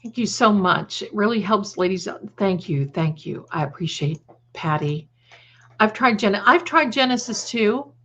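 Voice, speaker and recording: A woman's voice: mid-range voice, lively intonation, measured pace, a bit bright. A woman hosting a casual live chat, rambling and repeating phrases, with affectionate endearments for her viewers. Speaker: livestream host and creator.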